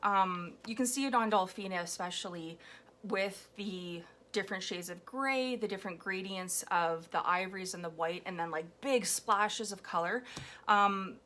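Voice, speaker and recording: A woman with a slightly hoarse, raw voice chatting casually about her collage process at home, rambling with frequent ums. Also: mid-range voice, moderate pace, bright, forceful tone. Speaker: collage artist. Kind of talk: casual commentary while making a collage. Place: home, indoors at a table. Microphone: overhead camera on a floor mount.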